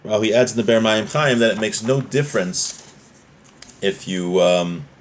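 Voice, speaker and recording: A man's voice: fairly low pitch, unhurried, slight background noise. Man teaching an informal religious class. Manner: explanatory, often checking with "right?". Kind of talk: informal religious class, reading Hebrew text and explaining it.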